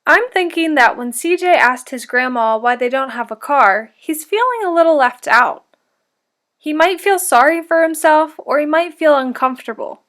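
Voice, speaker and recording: A woman with a high-pitched voice reads a picture book aloud as a teacher would for children. Her delivery is deliberate and instructive, made to be followed by young listeners. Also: animated, fairly bright tone. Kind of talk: interactive storybook read-aloud for children.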